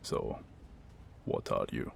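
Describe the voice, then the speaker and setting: A man playing a wounded mercenary warrior in an ASMR roleplay, guarded and wry but secretly tender, murmuring slowly with halting, formal, old-fashioned phrasing. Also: natural voice. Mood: wry, probing. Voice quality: deep, gravelly, breathy murmur. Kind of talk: ASMR roleplay acting, intimate second-person monologue. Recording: rain and thunder ambience noise. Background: rain and thunder.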